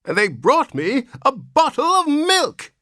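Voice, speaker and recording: A cracked, ancient old man, put on by a male narrator, whining querulously in a high, wavering voice that swoops up and down. He complains endlessly in a storybook reading, recorded close and dry. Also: wildly swooping pitch, measured pace, sharp tone, no echo, heavily acted. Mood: querulous whine.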